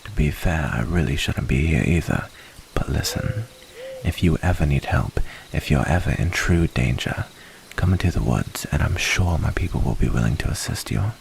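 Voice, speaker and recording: A man playing a wary, earnest and protective werewolf in an ASMR roleplay, giving hushed warnings with breathy pauses. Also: a bass voice, expressive, slight background noise, heavily acted. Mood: grave warning.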